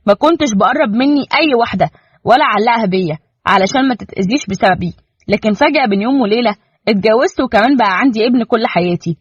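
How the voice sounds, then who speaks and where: A woman's voice: a little high, breathy edge, dry recording. A woman voicing a stern protective policeman husband. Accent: Egyptian Arabic. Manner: firm, lecturing, rapid. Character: protective young male police officer, stern but loving husband. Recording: dull, muffled, dry recording.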